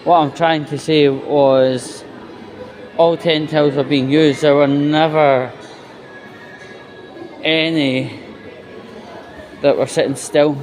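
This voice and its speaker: A man's voice: a little high, fairly even intonation, slow pace, pauses often, darkish tone. A man with a Scottish accent giving a blunt, sweary, casual vlog monologue while out walking. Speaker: video blogger, host. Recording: noisy outdoor walking recording, constant background noise.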